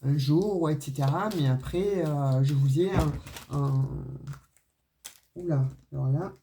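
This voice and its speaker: Mellow-voiced woman doing a chatty card reading. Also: low-pitched, a little slow, a little hiss or hum. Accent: non-Parisian French regional accent. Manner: chatty, full of "euh" and "hein" fillers, addresses viewers directly. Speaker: tarot and oracle card reader. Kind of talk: casual spoken card reading to viewers.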